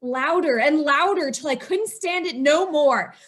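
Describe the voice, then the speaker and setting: A murderous young wife in an old-time radio thriller. The voice is high and sharp-edged. She is scheming and guilt-ridden, confessing with feverish, melodramatic insistence. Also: unhurried. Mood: frenzied obsession.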